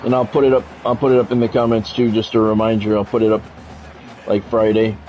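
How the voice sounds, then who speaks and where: A man's voice: on the low side, level tone, quick pace, dull audio, faint music behind. A man streaming a sports game, mixing radio-style play-by-play with casual chat.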